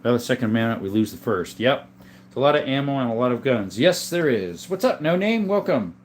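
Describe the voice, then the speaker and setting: A man hosting a casual livestream from a room full of guns, reading viewer comments aloud in a relaxed, joking way. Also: medium pitch, a little fast, slight background noise. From Arizona. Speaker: livestream host reading chat. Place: indoors, room with guns on display.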